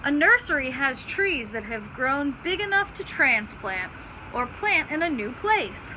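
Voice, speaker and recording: A woman's voice: sits high, big pitch swings, a little slow. A woman teacher reading aloud to children, stopping to explain simply and put questions to her listeners. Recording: clear background noise.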